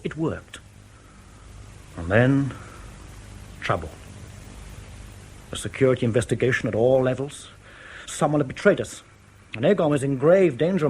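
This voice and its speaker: A man's voice: medium pitch, darkish tone, husky. An actor in a radio drama playing an anxious, proud East German official in his thirties who has defected, speaking formally and carefully. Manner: formal, careful, precise diction with hesitant pauses.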